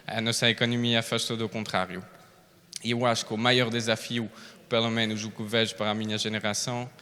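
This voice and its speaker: A man's voice: fairly low pitch, pushed and bright, clean tone. A young man from Belgium speaking as a non-native with a Belgian accent, giving an informal talk as a startup founder. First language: not Portuguese, a foreign speaker. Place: large indoor hall, public event. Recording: echoey hall with long reverb.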